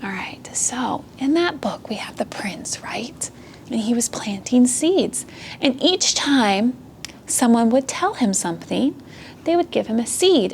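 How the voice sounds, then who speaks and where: A woman hosting a children's storytime, speaking gently and encouragingly as she reads and explains things simply. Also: fairly high pitch, vocal fry, a little hiss or hum. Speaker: children's storytime host and reader. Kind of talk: picture-book reading and simple explanation to a child.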